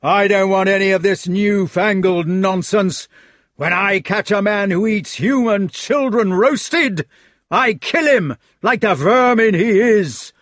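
A man voicing a fat, blustering male knight who shouts slowly and heavily in furious accusation. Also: on the high side, huge pitch swings, no echo, clean studio sound, pitched above the performer's own voice, full character voice. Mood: furious shouting. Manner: shouting, slow, heavy.

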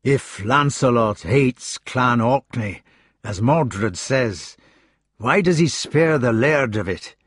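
A man's voice: medium pitch, animated, slow pace, slightly rough, dry recording, clean studio sound. A man voicing a wounded, tearful Scottish knight who speaks in strained, despairing tones. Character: wounded, weeping burly male Scottish knight.

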